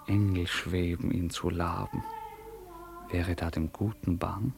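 A man giving a measured, solemn and expressive literary narration for a radio play. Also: low-pitched, gravelly.

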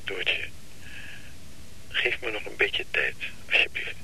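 An actor playing a troubled, evasive man who haltingly and wearily pleads for time in a radio drama. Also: fairly low pitch, expressive, pushed and bright, full character voice. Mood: weary, pleading. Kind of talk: Dutch radio drama acting. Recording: noisy radio play recording, telephone scene.

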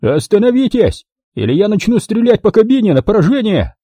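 A man acting a cowardly, indignant villain in an audiobook, yelling and whining hysterically. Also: high-pitched, sing-song, a little fast, breathy edge, dry recording, voice pushed up, full character voice. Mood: shrill alarm.

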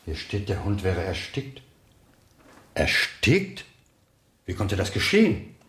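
An actor playing a worried, insistent husband in a German radio crime play, with clipped, pressing questions. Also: male voice, low voice, wildly swooping pitch, slow, a bit bright, roomy sound, full character voice. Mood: suspicious, brisk.

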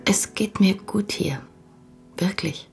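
An actress playing a fragile, reserved woman in a German radio crime drama, hushed and breathy, with measured, formal phrasing. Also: medium pitch, faint music behind. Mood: frail, subdued. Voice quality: breathy, fading into whisper.

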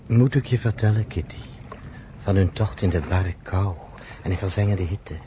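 An actor playing a sombre storyteller in a radio drama, narrating a grim family history in a measured, solemn way. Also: male voice, low-pitched, close to an everyday voice. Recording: slightly noisy old radio play recording.

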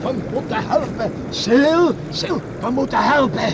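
An actor playing an excitable island man in a radio play, agitated and repeating his exclamations. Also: very high-pitched, measured pace. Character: excitable island man urging others to help. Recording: very noisy radio play, storm wind and sea. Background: roaring wind and waves.

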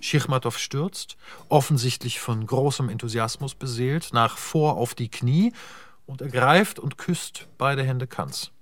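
A man narrating stage directions for a radio play in a measured, even and descriptive way. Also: mid-range voice, no room sound. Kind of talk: scripted narration.

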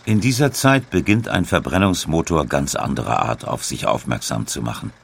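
A man narrating a scripted documentary in a measured, precise way. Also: very deep voice, vocal fry, breathy edge.

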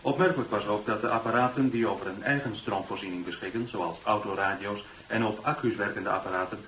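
A formal, impersonal radio newsreader, lowish in pitch, reading a bulletin through a radio set. The sound is distant and strongly echoing. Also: male voice, fast, fairly bright tone. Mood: formal, neutral. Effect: radio set playback. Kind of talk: scripted news bulletin in radio drama. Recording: distant, roomy, dull, hissy radio sound.

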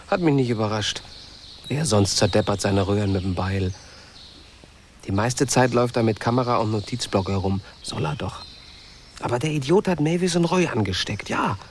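A man's voice: mid-range voice, a little hiss or hum, lightly acted. Man acting awkward, grumbling planet settler. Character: shy, grumpy male settler on a far planet. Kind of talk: German radio play acting.

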